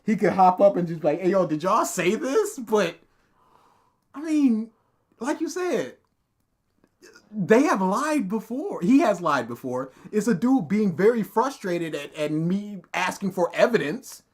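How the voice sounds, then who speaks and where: A young man co-hosting a casual reaction commentary, laughing often and breaking into loud outbursts. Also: sits high, big pitch swings, unhurried. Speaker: co-host reacting to a video.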